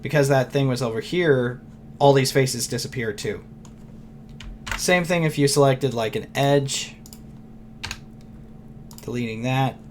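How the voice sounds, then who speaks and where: A man who is losing his voice talks loosely through a software tutorial, rambling and thinking aloud with fillers and throat clearing. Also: medium pitch, a little slow, pauses often, a little hiss or hum. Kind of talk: casual screen-recorded tutorial.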